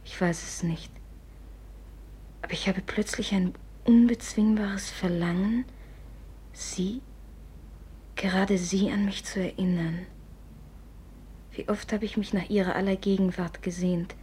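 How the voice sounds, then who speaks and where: A woman's voice: medium pitch, steady tone, measured pace, pauses often, warm tone, a little hiss or hum, close to an everyday voice. A woman playing a proud, suspicious young society lady in a German radio drama, with a theatrical, imperious manner. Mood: hushed, moved. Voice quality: breathy.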